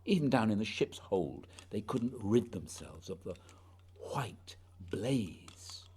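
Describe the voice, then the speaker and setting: An actor playing an earnest, haunted polar explorer in a radio play, speaking lyrically and deliberately in a husky voice. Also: male voice, on the low side, animated, darkish tone, exaggerated voice. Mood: rapt, lyrical. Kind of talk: poetic radio drama acting.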